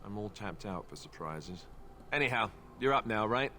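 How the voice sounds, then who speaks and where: A friendly young male warrior from a fantasy video game. His voice sits fairly low and he speaks in a wry, conversational, self-deprecating way. Faint game ambience runs behind him. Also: expressive, moderate pace, pushed and bright, slight background noise. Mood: dry humour.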